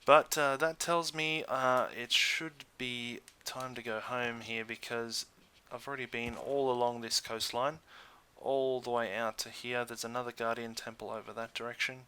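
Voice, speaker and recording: Bright-voiced man, casual gaming commentary. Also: mid-range voice, steady tone, normal speed, hardly any pauses, clear, resonant tone. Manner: hesitant, thinking aloud. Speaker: gaming video host.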